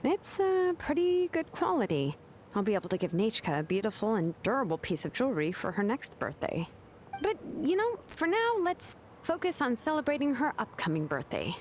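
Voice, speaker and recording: Laid-back young crafter. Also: female voice, a little high, normal speed, a little hiss or hum. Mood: relaxed. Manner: relaxed, casual. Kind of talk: video game dialogue.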